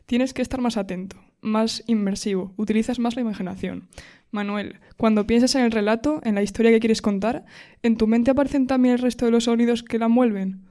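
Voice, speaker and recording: Woman w/ reading interview questions, soft dark tone, kinda high. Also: steady tone, brisk. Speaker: student interviewer.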